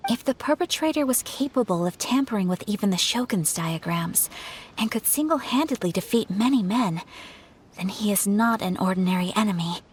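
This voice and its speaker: A woman plays a dutiful young lady of a noble house in an anime game. Her voice is medium in pitch, formal and measured, with a reassuring poise over faint background ambience. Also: animated, slight background noise, close to an everyday voice. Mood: composed, concerned.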